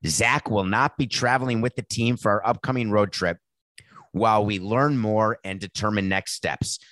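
A man hosting a sports podcast, with a raspy voice and a sardonic, mocking way of talking. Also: on the low side, steady tone, normal speed, fairly bright tone, no room sound. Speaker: podcast host. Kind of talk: sarcastic sports commentary.

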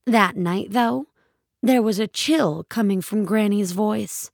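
A woman's voice: mid-range voice, slow pace, gravelly, no room sound. A woman reading scripted dramatic fiction as a narrator, recorded close on a studio mic.

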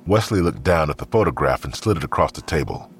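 A man's voice: rumbling low, expressive, fast, heavy background noise. A man narrating a crime audio drama in a sober, scripted third-person style.

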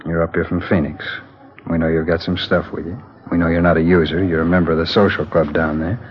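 An actor playing a confident drug dealer in a 1950s radio drama, pressing and smooth. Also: male voice, low voice, level tone, fast, close to an everyday voice. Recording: dull lo-fi old radio broadcast.

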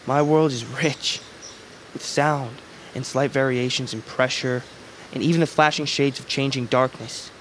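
An actor voicing a blind young man in an audio drama who speaks in a measured, gently teasing way. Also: mid-range voice, fairly even intonation, lightly acted. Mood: earnest. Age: young adult. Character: blind young man, calm, wise and playful. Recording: clear background noise.

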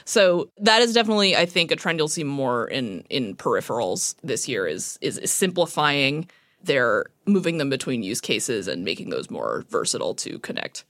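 A woman reviewer talking thoughtfully as a podcast guest, softly hedging her points. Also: extremely low pitch, big pitch swings, normal speed, no echo. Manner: thoughtful, hedging with fillers and restarts. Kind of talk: casual podcast chat.

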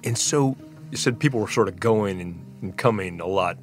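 Male podcast host, lowish voice. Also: normal speed, soft background music. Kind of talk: podcast hosting, scripted intro and conversational questions.

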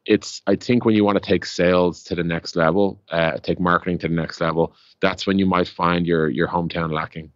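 A man's voice: low-pitched, fairly even intonation, a little fast, few pauses, no echo, highs cut off. An Irish man explaining business as an interview guest, rambling conversationally with lots of "like" and "you know". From Ireland. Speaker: interview guest, tech startup co-founder.

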